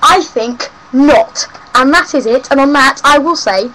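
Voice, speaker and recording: A woman's voice: high voice, a little slow, bright, forceful tone, low-quality recording. A young woman from South West London with a London accent, rambling and ranting cheekily in a casual home vlog. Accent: London English. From South West London, England. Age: young adult. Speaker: vlogger. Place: home room.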